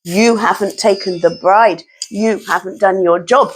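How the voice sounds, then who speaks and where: A woman voicing a small, polite male rat who is a bride's husband, in a slow, deep, apologetic voice, telling a story to children. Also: a little hiss or hum, lightly acted. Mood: sorry.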